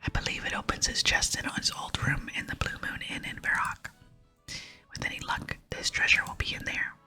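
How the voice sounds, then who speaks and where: A woman's voice: a little fast, bright, forceful tone, faint music behind. A woman voicing a male pirate sailor in a video game, reading his salty dialect lines in an ASMR whisper. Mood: conspiratorial. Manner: pirate talk with dialect grammar. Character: male pirate sailor, gruff old mate Frank.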